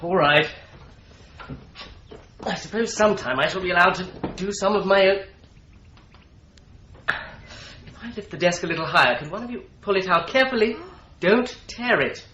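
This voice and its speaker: A man's voice: high-pitched, lively intonation, fairly quick, pushed and bright, roomy sound, muffled recording, a little hiss or hum. An actor playing an obliging, wry young male office clerk in a radio drama, polite and faintly pompous. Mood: strained. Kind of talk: 1950s-style BBC radio drama.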